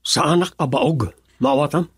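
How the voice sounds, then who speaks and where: A man playing a tipsy, callous, bitter husband in an Ilocano radio drama, with a husky voice. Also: fairly high pitch, normal speed, no echo, studio quality. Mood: scornful.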